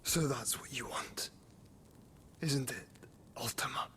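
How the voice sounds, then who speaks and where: An actor playing an intense, brooding warrior musing alone in a fantasy game, halting and deliberate. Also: male voice, mid-range voice, sharp tone, in a whisper. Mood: awed, foreboding. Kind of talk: cinematic fantasy game acting.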